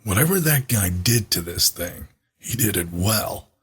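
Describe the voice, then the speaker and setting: Man as very raspy beastly scientist. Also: low-pitched, lively intonation, a little slow, heavily acted. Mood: doubtful. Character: beastly male scientist, practical and doubtful. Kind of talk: audio drama acting.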